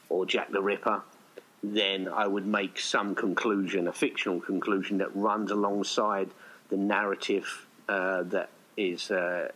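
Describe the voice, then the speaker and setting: A British man with a fairly low voice talks in a loose, conversational style. He rambles chattily as he explains things, like a writer talking shop. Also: a bit bright, rough recording. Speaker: audio play writer. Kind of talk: casual conversation.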